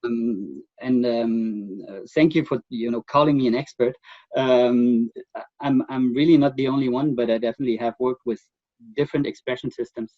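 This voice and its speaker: A middle-aged man with a German accent speaks as the expert guest in a remote interview. He talks in a thoughtful, halting way, pausing on fillers and restarting his sentences. The audio is dull and close, with almost no room sound. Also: medium pitch, dry recording.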